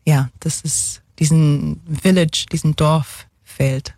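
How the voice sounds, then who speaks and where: A woman from England, speaking German as a second language with an English accent, talks haltingly in a casual interview, searching for words and slipping in English ones. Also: sits low, fairly even intonation, a little mellow, dry recording, clean studio sound. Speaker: guest, single mother.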